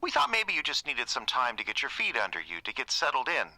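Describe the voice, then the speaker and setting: An actor playing a nervous, evasive ex in an audio drama, speaking in a hesitant, halting way. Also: male voice, mid-range voice, wildly swooping pitch, bright, forceful tone, dry recording, exaggerated voice, rough recording. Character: awkward, evasive ex-boyfriend, nervous and conflict-shy. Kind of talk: radio drama acting.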